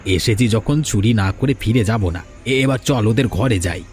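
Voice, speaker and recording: Cartoon village man, low and grumbling, deliberate delivery over music male actor. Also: darkish tone, close to an everyday voice. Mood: scheming, wary. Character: male village thief and weary elder brother, grumbling. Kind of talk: Bengali cartoon fairy-tale dubbing.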